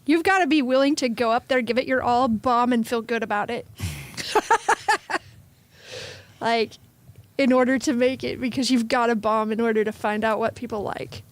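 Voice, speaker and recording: Higher-voiced woman in emphatic casual chat. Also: big pitch swings, moderate pace, pauses often, no echo. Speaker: improv performer, guest.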